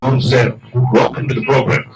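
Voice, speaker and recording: A man hosting a radio call-in show, asking slow, deliberate questions with many pauses and fillers. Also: medium pitch, flat delivery, creaky, very noisy. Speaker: radio talk show host. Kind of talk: late-night call-in radio interview. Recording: distant voice over loud music.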